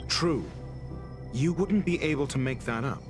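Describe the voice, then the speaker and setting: A male actor plays a haughty scholar from a video game, cross-examining with cool disdain. His pitch moves in lively, theatrical swings, and every phrase is pompous and sardonic. Music plays behind him. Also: unhurried, natural voice. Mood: dismissive. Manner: pompous, sardonic, elaborately articulate.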